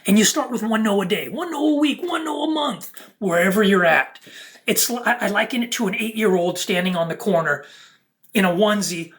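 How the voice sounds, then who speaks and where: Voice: medium pitch, expressive, fairly quick, a bit bright. A speaker delivering an unscripted, preachy monologue to viewers in emphatic, broken-up phrases. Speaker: content creator.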